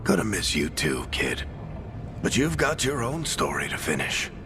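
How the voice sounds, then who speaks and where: An actor playing a warm, weary, wry mentor saying farewell in a cinematic video game, speaking informally and unhurriedly. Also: male voice, low-pitched, wildly swooping pitch, moderate pace, background noise, heavily acted. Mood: gentle, wry farewell. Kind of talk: cinematic game dialogue acting. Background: music.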